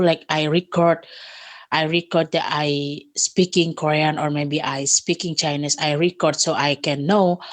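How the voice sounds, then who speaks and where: A young Indonesian woman with an Indonesian accent, chatting in halting learner English and laughing often. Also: low voice. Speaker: conversation partner. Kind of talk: casual chat.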